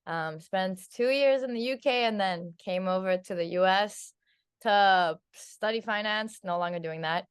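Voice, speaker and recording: A woman's voice: medium pitch, unhurried, clean tone. A woman in her twenties from Turkey, chatting casually in an interview, her talk full of "like" and "um". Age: 20s. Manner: chatty, filled with "like" and "um". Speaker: singer being interviewed.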